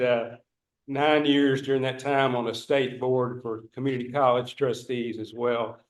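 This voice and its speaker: A plainspoken man with a Northeast Texas accent speaks with dry humor, his pitch staying level and even. His voice rings with the echo of a meeting room. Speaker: HOA board candidate. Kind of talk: candidate self-introduction at a public meeting.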